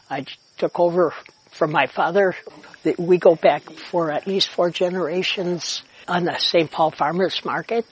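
A man's voice: high-pitched, husky, low-quality recording. An American man, a market grower, talking plainly in an interview. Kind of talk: interview recollection.

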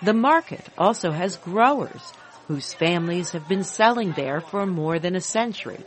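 A woman's voice: on the low side, animated, normal speed, slight background noise. A woman with an American accent reading scripted news narration as a reporter.

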